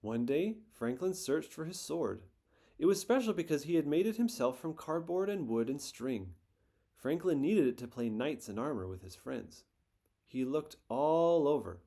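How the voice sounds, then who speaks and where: A man reading a children's picture book aloud in a gentle, expressive storytelling manner. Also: fairly high pitch, moderate pace.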